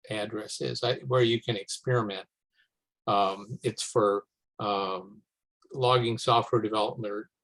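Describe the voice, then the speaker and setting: A man of medium pitch takes part in an informal teleconference. He speaks haltingly, pausing between phrases as he works out what to say. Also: a bit bright, poor audio. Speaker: meeting participant. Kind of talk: informal conference call discussion. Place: remote teleconference.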